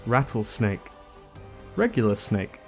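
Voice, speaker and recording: A man's voice: on the low side, big pitch swings, slow, a little mellow. A man narrating a scripted video, reading out items one by one. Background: background music.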